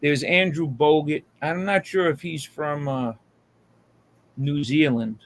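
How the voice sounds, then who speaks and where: A man's voice: on the high side, takes it slowly, fairly bright tone. An American man in casual chat on a live hangout, with a slangy, profane way of talking.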